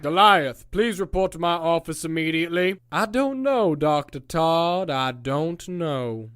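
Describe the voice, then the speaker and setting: A man's voice: a little high, lively intonation, a little slow, a bit bright, clean tone, no echo, studio quality. An actor playing a sadistic captor in an audio drama, mocking, grandiose and theatrically wordy as he toys with his prisoner. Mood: smug. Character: sadistic, taunting male captor playing mind games. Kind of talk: audio drama villain acting.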